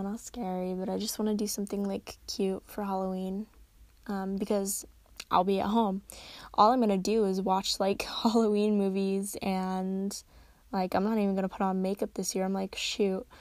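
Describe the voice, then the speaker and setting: A tired young woman hosting a casual solo podcast at home, rambling and correcting herself, her voice slightly raspy. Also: medium pitch, a little mellow, no echo. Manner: rambling, chatty, self-correcting. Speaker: podcast host. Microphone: close desk microphone.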